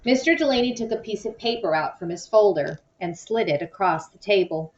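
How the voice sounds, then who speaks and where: A woman's voice: medium pitch, expressive, fairly quick, highs cut off. A woman giving scripted narration of a children's novel as a read-aloud storyteller.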